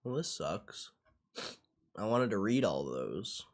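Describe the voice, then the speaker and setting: Young male streamer, unhurried delivery with big pitch swings, casual and slangy, repeats himself, swears freely. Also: sing-song. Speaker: livestreamer. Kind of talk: casual livestream chat, reacting to what is on screen.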